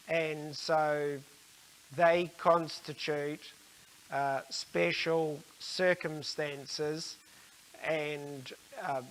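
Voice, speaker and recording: Slow, even-toned New man lecturing. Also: on the high side, poor audio. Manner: measured, halting, with frequent mid-sentence pauses. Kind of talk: explanatory religious talk.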